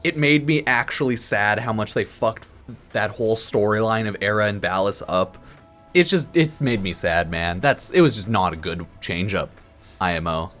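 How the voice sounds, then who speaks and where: A man's voice: lowish voice, animated, moderate pace, fairly bright tone. A slightly drunk man giving casual, rambling game commentary as a streamer, blunt, profane and opinionated. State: a bit drunk. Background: music under the voice.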